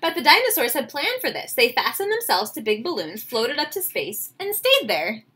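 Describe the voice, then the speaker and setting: A woman, reading a picture book aloud to children with expressive, enthusiastic, chatty asides. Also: sits high, normal speed, slightly rough. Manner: expressive, enthusiastic, questioning the listeners. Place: small home room, indoors.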